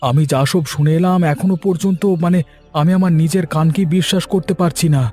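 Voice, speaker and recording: Man acting an excitable village husband. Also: sits high, brisk, soft background music, close to an everyday voice. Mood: agitated, earnest. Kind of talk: Bengali cartoon voice acting.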